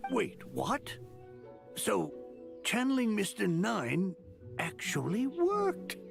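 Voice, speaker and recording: Game role, young writer: wildly swinging pitch, creaky edges, excitable and anxious, music underneath. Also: female voice, slow, full character voice. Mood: astonished. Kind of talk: video game voice acting.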